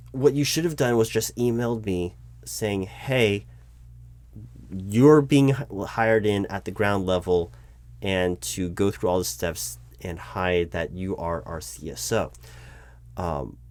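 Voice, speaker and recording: A man playing an exasperated corporate hiring manager in a one-sided interview roleplay, talking in a rambling, halting way with stammers and self-corrections. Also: fairly low pitch, darkish tone, natural voice. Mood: incredulous, explaining. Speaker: roleplay performer. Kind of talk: improvised one-sided job interview roleplay, spoken aloud.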